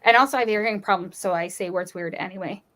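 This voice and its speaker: A woman's voice: mid-range voice, fast, fairly bright tone. A woman with a Utah accent tinged with North Dakota, co-hosting a casual live chat and telling personal stories. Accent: Utah with some North Dakota. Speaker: livestream co-host.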